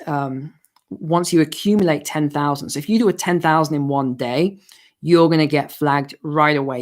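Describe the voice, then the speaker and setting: Male host, hoarse, live Q&A stream, chatty explainer. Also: a little high, a little mellow. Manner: explanatory, chatty, with restarts and asides. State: hoarse, losing his voice. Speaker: finance channel host. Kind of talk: live-stream Q&A, answering viewer questions.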